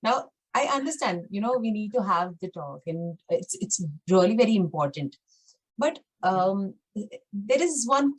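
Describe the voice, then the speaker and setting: A woman's voice: fairly high pitch, poor audio. A woman from India hosting an interview, appreciative of her guest and asking how parents can connect with their children. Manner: appreciative, asks questions. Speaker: interview host.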